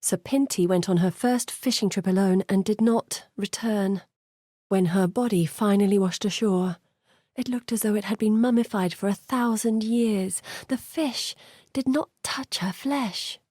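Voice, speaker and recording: A woman's voice: medium pitch, unhurried, mellow, dry recording, studio quality, lightly acted. An actress playing an earnest, curious woman scholar in a video game, articulate, thoughtful and dramatic in delivery. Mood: haunted, grave. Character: earnest, curious woman scholar guiding an exile through memories. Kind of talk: video game character voice acting.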